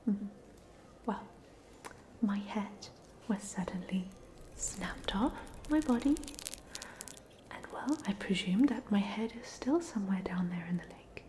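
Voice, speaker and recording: A woman's voice: medium pitch, expressive, unhurried, slight background noise, lightly acted, low-quality recording. A woman playing a polite, chatty ghost of a drowned student in a whispered, hesitant ASMR roleplay. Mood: wry, resigned. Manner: whispered, hesitant, gently rambling.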